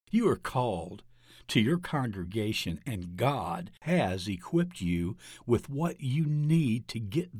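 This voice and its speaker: A man, a pastor, reading a scripted piece aloud in a measured, deliberate manner. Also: on the low side, no echo, clean studio sound. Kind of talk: scripted narration of an article on ministry.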